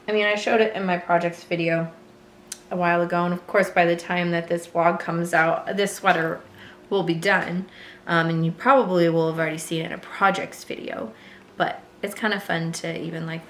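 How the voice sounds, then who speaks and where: A chatty woman knitter talking to her viewers at home, her voice a touch hoarse and gravelly as it recovers. She sits a little low in her pitch and rambles along with fillers and small laughs. The room rings with echo, and a faint hiss sits behind her. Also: fairly even intonation, moderate pace, fairly bright tone. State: slightly hoarse, voice still recovering. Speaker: knitting vlogger. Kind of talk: casual chat to camera.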